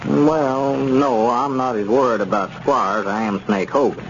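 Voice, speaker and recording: A man playing a sensible, suspicious small-town friend in a 1930s radio serial, with a folksy, measured way of reasoning. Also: mid-range voice, steady tone, soft background music, lightly acted. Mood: worried, suspicious. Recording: muffled, noisy 1930s radio recording.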